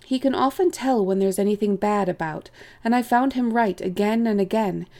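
A woman's voice: medium pitch, moderate pace, darkish tone, lightly acted. Woman voicing an earnest, hesitant young rabbit. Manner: deferential, hesitant. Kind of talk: audiobook character voice.

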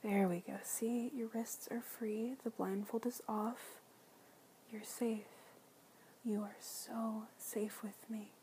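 A woman speaks in a slow, hushed ASMR whisper, drawing out each soft phrase. Her tone is warm and mellow rather than bright, gentle and reassuring throughout. A faint hiss sits under the quiet voice. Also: fairly high pitch, little pitch movement. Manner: soft soothing whisper, tender pet names, gentle repeats. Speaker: audio roleplay performer.

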